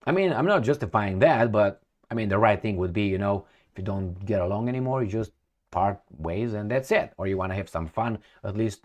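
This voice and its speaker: A middle-aged man with a Romanian accent speaks in a lowish voice and delivers a ranting political commentary. He swears freely and jabs at his targets with sarcastic rhetorical questions. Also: brisk, darkish tone.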